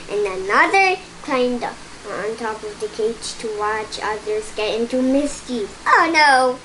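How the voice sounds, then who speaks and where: A child reading a picture book aloud in a halting way, stumbling and repeating words, with spoken asides. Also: sits high, sharp tone, slight background noise, rough recording. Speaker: storytime reader.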